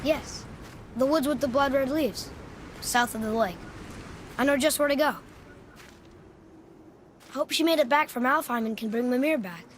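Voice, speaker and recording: A man's voice: very high voice, normal speed, pauses often, a little hiss or hum. A young male actor playing an eager, curious young boy in a video game, chatty and earnest. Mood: chatty, thoughtful. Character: eager, curious young boy travelling with his stern father. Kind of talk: video game cutscene acting. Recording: loud music behind the voice.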